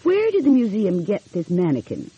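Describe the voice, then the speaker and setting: A woman's voice: mid-range voice, huge pitch swings, moderate pace, warm tone, husky, no room sound, muffled recording, voice pushed up. A woman voicing an eager, polite young female detective in an audiobook mystery. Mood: eager, questioning.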